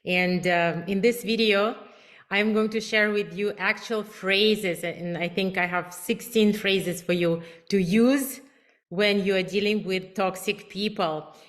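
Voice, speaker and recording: A woman's voice: medium pitch, fairly even intonation, measured pace. A woman from Russia with a Russian accent, a relationship coach giving emphatic, enthusiastic advice straight to her viewers. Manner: emphatic, enthusiastic, self-promoting. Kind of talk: direct-to-camera advice talk.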